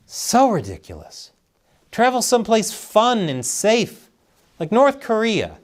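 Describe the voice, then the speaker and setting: A man reads satirical news commentary with a very lively, swinging pitch. He keeps a mock-serious anchor delivery and lands his punchlines with dry sarcasm. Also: slow pace. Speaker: host of a satirical news show.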